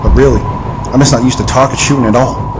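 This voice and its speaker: A man's voice: medium pitch, fairly even intonation, a little fast, lightly acted. Man playing earnest marksman over engine-like noise. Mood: frustrated. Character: earnest American marksman, polite and modest. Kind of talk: audio drama acting.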